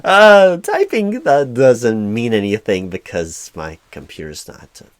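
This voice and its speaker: A man performing a job interview roleplay as a flustered, apologetic hiring manager, speaking formally in a halting monotone. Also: mid-range voice, no room sound. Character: mildly frustrated, apologetic male corporate hiring manager. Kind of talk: one-sided job interview audio roleplay.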